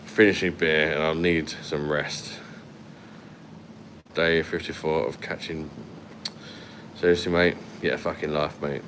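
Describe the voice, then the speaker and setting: A man with a London accent, his voice sitting very low, chats loosely through a livestream from a hotel room at night. He is blunt and swears freely while reading out comments, holding the phone at arm's length, with a faint air-conditioning hum behind him. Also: fairly even intonation, measured pace, long pauses. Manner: blunt and sweary, laughs often. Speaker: livestream host. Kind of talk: casual live chat reading comments. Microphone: phone held at arm's length.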